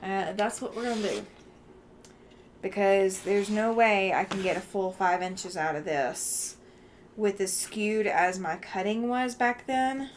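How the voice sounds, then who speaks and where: A woman's voice: medium pitch, unhurried, background noise. A tired woman with a Southern US accent chatting casually while she works on a quilt at home, thinking aloud with fillers and frequent laughs. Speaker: quilting livestream host.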